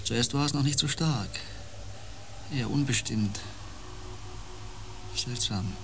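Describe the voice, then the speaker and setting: A man playing a haunted, intense empath and space explorer in a radio drama, brooding and halting in fragmented phrases. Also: mid-range voice, noisy background. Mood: haunted, hushed.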